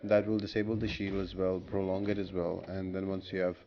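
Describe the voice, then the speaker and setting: Guy with a lowish voice doing a casual game walkthrough, explains it step by step, noisy recording. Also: flat delivery, fairly quick, dull audio. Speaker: gaming guide video host. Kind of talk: casual walkthrough commentary over gameplay. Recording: clearly noisy voice-over with background ambience.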